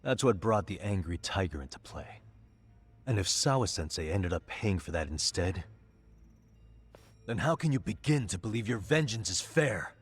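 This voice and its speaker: An actor playing a determined male detective in a game cutscene, pressing a prisoner with steady, probing questions. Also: on the low side, moderate pace, slightly rough. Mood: stern. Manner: pressing, steady interrogating delivery. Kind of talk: game voice acting, dub.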